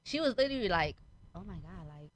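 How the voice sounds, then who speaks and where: A young woman comedian talks in a loud, brash way, swearing freely and breaking into laughs. Her delivery is casual and lively, like a guest riffing on a live stream. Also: on the low side, fast, poor audio. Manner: loud, profane, laughs often.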